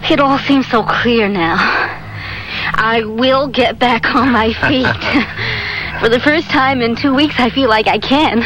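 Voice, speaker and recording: A woman's voice: fairly high pitch, slow pace, a bit bright, poor audio. An actress playing an earnest, vulnerable married woman in therapy, speaking with emotional, hesitant phrasing in a vintage radio drama. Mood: relieved. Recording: hissy vintage radio broadcast recording.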